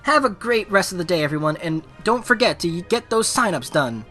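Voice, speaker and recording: A man voicing a poised teenage student council president, reading a formal, measured speech from a visual novel. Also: sits high, expressive, pushed and bright, faint music behind, full character voice. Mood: formal, earnest.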